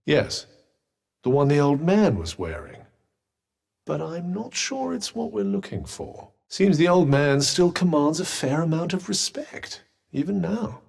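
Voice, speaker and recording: A man's voice: a little high, big pitch swings, a little slow, creaky, darkish tone, husky, full character voice. A man with a British accent voicing a haughty, sardonic talking magical object in a video game, with a dry, condescending and theatrical manner. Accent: British English. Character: sardonic, haughty, well-spoken talking magical cuff, male. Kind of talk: video game character dialogue.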